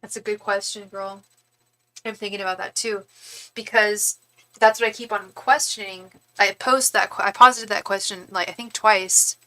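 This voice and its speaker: A woman's voice: mid-range voice, fairly even intonation, normal speed, sharp tone, low-quality recording. A young woman doing a casual live-stream tarot reading, chatty and rambling as she talks straight to her viewers. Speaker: tarot reader and psychic.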